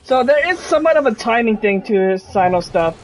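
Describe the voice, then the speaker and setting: A man's voice: very high-pitched, expressive, fairly quick, poor audio. A man giving casual gameplay commentary as a video game streamer. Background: game music.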